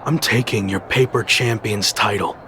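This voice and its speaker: A man's voice: lowish voice, moderate pace, sharp tone, a little hiss or hum. An actor playing a wary young male wrestling challenger in a video game cutscene, blunt and suspicious. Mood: defiant.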